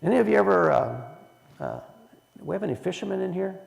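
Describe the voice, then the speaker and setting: A man's voice: high voice, lively intonation, quick pace, creaky, big-room sound. A man leading a church Bible study in a folksy way, asking the room questions as he teaches. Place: church hall.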